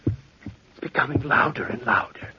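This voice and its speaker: An actor playing a frantic, guilt-crazed murderer confessing in melodramatic 1940s radio horror style. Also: male voice, medium pitch, animated, a little slow, natural voice. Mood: frantic, desperate. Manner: melodramatic, agitated. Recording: noisy, muffled 1940s radio recording with clicks.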